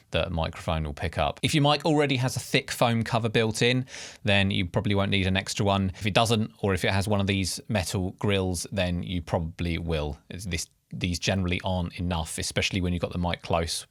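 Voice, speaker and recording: A man hosting an instructional video, explaining step by step in a clear way, recorded close to the mic. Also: low voice, no echo. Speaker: tutorial host. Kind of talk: instructional video narration to camera. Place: quiet treated home studio with acoustic panels.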